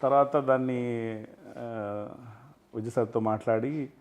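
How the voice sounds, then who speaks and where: Man in animated interview, mixing in English. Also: mid-range voice, takes it slowly. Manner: mixes English phrases into his talk. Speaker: film industry guest.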